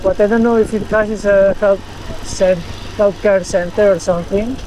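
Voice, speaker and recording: A man with a notably high voice talks in halting second-language English, often restarting his sentences as he vlogs on foot through a small town. Light engine and traffic noise runs behind him outdoors. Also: fairly even intonation, dark tone, rough recording. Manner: halting, with many restarts and self-corrections. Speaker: travel vlogger. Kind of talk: casual walk-and-talk vlog. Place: outdoors in a small town's streets and market.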